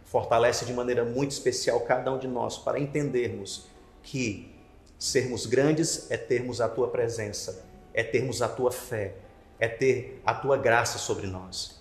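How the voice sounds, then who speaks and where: A man in his thirties giving a devotional Bible lesson to camera, expressive with emphatic rising questions, ending in a quiet prayer. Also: mid-range voice. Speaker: Bible study teacher. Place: indoor studio with wooden slat wall. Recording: roomy with long reverb.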